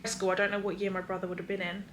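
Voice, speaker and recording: Chatty bright-voiced English woman. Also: medium pitch, level tone, racing, slight background noise. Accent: English, with Australian traces. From England. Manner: chatty, rambling, many fillers. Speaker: vlogger. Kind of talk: casual chat, Q&A.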